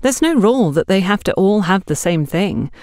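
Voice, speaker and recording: A woman's voice: medium pitch, lively intonation, moderate pace, a little mellow, no echo, studio quality, voice pushed up, natural voice. Woman acting a polite, eager young shop girl. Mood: helpful. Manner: polite, deferential.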